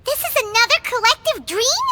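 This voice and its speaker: A tiny fairy sidekick in an anime-style game, extremely high and squeaky. The little creature is excitable and inquisitive, with pitch that bounces around freely and childlike exclamations. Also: female voice, normal speed, noisy background. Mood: curious, puzzled. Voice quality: squeaky, piping. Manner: inquisitive, third-person self-reference, exclamatory.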